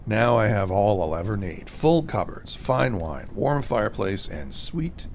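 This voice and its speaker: A low, creaky actor's portrayal of a bitter male loner in a radio play. He mutters to himself, and clear background noise runs under the voice. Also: sing-song, unhurried, a little mellow. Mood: smug, wistful. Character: bitter reclusive man, self-satisfied and uneasy. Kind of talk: radio drama monologue.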